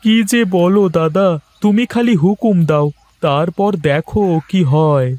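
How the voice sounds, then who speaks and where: High-pitched eager village lad acted by a man. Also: lively intonation, measured pace, a little mellow. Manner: eager, deferential. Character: eager, obliging young village helper, younger brother. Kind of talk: cartoon voice acting.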